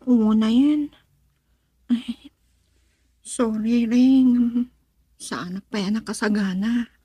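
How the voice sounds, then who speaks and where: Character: hesitant young sweetheart. Pitch: high. Sound: dry. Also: female voice, steady tone, very slow, warm tone, husky, dry recording, heavily acted. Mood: hesitant, pleading. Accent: Ilocano. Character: hesitant young woman in love, dutiful daughter. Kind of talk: Ilocano romantic radio drama acting.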